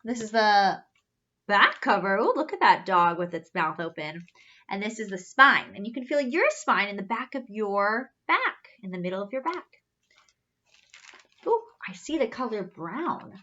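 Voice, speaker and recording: A woman's voice: medium pitch, big pitch swings, measured pace, pushed and bright, highs cut off. A woman reading a storybook aloud to children in a theatrical way, asking questions and reacting with wonder. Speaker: story reader, children's teacher-like host.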